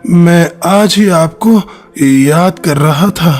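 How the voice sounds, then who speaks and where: Man playing a sick old father bird, slow and weak, cartoon story, soft music. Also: fairly high pitch. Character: dying old father bird, frail and gentle.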